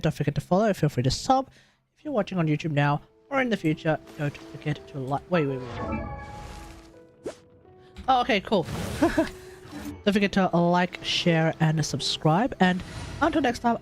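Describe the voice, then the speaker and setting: Australian game streamer, casual and rambling, middling pitch, music behind. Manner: rambling, thinking aloud. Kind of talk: casual livestream gameplay chat. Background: game music and combat sounds.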